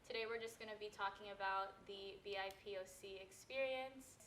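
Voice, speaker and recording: A woman's voice: medium pitch, moderate pace, a bit bright, a little hiss or hum. An eighteen-year-old woman from California moderating a casual panel discussion, talking loosely with lots of filler words. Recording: roomy recording.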